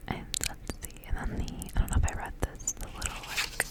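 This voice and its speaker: A young woman speaking in a soft, breathy whisper as an ASMR creator. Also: brisk. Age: young adult. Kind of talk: whispered ASMR, casual rambling and story reading.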